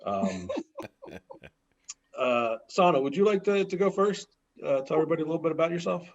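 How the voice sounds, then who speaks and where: A man co-hosting a casual discussion, speaking haltingly with many pauses and fillers that trail off quietly. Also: fairly high pitch, animated, highs cut off. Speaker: podcast co-host. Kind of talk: casual chat.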